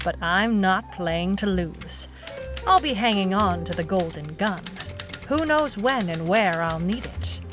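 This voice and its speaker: A woman's voice: mid-range voice, animated, unhurried, music in the background, natural voice. An actress playing a tough, defiant female private eye, narrating a noir audio drama in a cool, clipped manner. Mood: brooding, determined.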